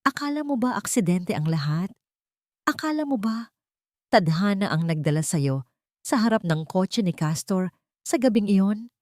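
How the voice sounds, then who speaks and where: A woman's voice: mid-range voice, animated, unhurried, pauses often, a little mellow, no echo, clean studio sound, natural voice. A woman with a Filipino accent voicing a scheming, venomous rich female villain in a dramatic audio storybook. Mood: gloating. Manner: menacing, taunting, calculated.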